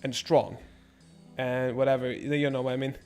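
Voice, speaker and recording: A man's voice: medium pitch, little pitch movement, normal speed, a little mellow, clean tone, soft background music. A young man born in Norway, chatting casually and rambling on his live stream, swearing freely. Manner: rambling, laid-back, lots of filler and swearing.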